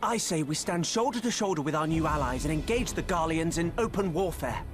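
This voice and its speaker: A man plays an earnest young nobleman in a video game drama. His high, animated voice argues formally and with passion, over loud music. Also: a little fast, close to an everyday voice. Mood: earnest conviction. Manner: formal, persuasive, impassioned. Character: earnest, eager young nobleman, the general's son.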